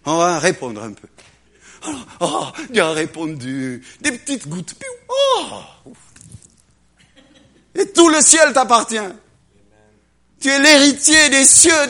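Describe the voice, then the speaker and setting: A man preaching a lively sermon to a congregation, acting out little voices and swinging between loud and hushed delivery. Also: extremely high pitch, takes it slowly, long pauses. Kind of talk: animated sermon.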